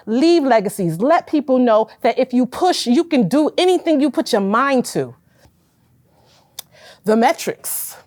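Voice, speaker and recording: A woman in her forties from Connecticut, a business owner giving a loud, emphatic pitch and calling her listeners y'all. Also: dry recording, studio quality. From Hartford, Connecticut.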